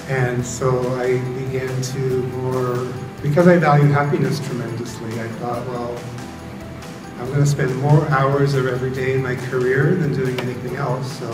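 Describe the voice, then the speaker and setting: A man's voice: medium pitch, recorded from a distance. Middle-aged man, easygoing speaker, recorded from the back of an echoey conference room. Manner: relaxed storytelling with fillers. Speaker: founder speaking to students. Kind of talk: motivational talk to an audience. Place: large hotel conference room.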